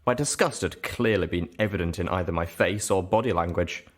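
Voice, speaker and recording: Male audiobook narrator, lower-pitched, delivering scripted first-person fiction. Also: fairly quick.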